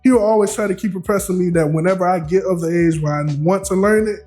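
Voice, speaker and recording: High-voiced young man, laid-back and laughing. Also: fast, faint music behind. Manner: laughs often, relaxed slangy talk. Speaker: guest. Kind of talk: casual podcast conversation.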